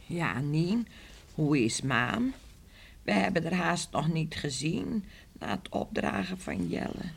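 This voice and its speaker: A woman playing a wistful, confused old village wife in a radio drama, halting and repeating her words. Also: sits low, sing-song, vocal fry, husky, full character voice. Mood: wistful, dazed.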